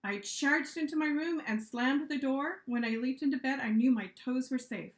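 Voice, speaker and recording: A woman's voice: sits high, normal speed. Woman reading a storybook, echoey room. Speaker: author reading aloud. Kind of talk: expressive children's storybook narration.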